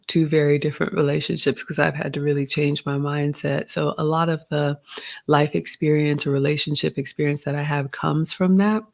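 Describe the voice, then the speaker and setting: A woman in her forties, originally from Ghana, chatting casually as host of a live Q&A and reading out viewer comments. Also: low voice. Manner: chatty, reads viewer comments aloud, uses "y'all" and "you all".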